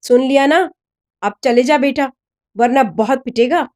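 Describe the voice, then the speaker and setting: A woman's voice: high voice, unhurried, darkish tone. A woman voicing a protective, confident older schoolboy with a blunt, brotherly way of talking, in a story reading. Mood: stern, challenging.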